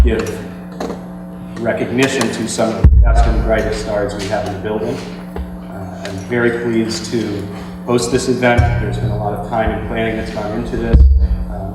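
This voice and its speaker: Flat, hesitant man far off in a hall. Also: on the low side. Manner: hesitant, many fillers, flat delivery. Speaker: event host, school staff. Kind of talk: ceremony opening remarks. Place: school auditorium. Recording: distant mic in an echoey hall with music.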